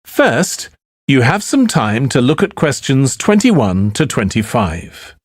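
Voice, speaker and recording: Announcer: man, formal instructional read, strongly swooping intonation, mid pitch, dry recording. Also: very animated, takes it slowly, no room sound. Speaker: exam announcer. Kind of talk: scripted instructional narration.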